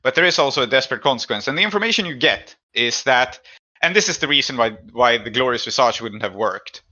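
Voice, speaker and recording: Bright, sharp-voiced man as game master. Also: mid-range voice, lively intonation, quick pace, dull audio. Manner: conversational, explanatory. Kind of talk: narrating and adjudicating a tabletop roleplaying game.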